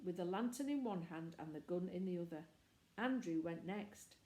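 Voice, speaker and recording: A woman narrates a children's novel from a script. Her voice is mellow and mid-pitched. The room around her is clearly echoey. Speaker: storybook reader.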